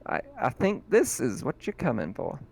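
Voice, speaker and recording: A man's voice: medium pitch, huge pitch swings, normal speed, vocal fry, a little mellow, slight background noise, full character voice. Man playing a curt, guarded sci-fi counterman. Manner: curt, colloquial. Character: gruff guarded male colonial counterman, curt and sarcastic.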